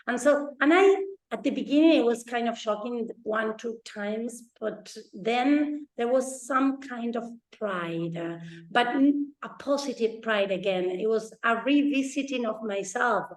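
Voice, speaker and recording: A woman's voice: on the high side, measured pace, hardly any pauses, roomy sound, low-quality recording. A woman from Argentina co-hosting a podcast, chatting casually and hesitantly with many fillers and restarts. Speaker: podcast co-host. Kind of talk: casual chat.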